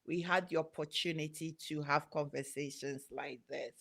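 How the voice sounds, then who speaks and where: A woman who immigrated to Canada, hosting a podcast interview, halting and restarting as she talks. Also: sits low, fairly even intonation, gravelly, fairly bright tone. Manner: slow, halting, stammers on restarts. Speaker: podcast host. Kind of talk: interview conversation.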